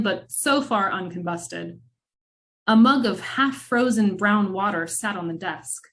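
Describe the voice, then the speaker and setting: A woman narrating a scripted film-noir radio drama in measured, dramatic storytelling with wry asides. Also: medium pitch.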